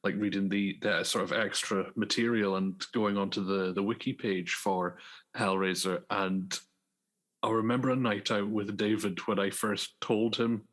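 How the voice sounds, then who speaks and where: A man hosting a casual podcast, chatting in a relaxed way. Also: fairly low pitch, normal speed. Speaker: podcast host.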